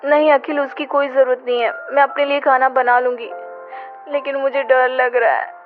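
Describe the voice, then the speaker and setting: A woman's voice: sits high, flat delivery, normal speed, natural voice. A woman playing an anxious, pleading young wife in a horror cartoon. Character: frightened young wife in a haunted house. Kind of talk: dramatized horror story voice acting. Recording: tinny, band-limited audio with music.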